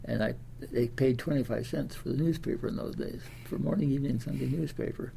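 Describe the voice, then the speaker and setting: An elderly American man of ninety with a Midwestern accent and a heavily gravelled, soft-edged voice. He tells his life story in a halting, meandering way, repeating words as he goes. There is faint noise and room ambience behind him. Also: mid-range voice. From Des Moines, Iowa, United States. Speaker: interviewee, World War Two veteran. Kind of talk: oral history reminiscence.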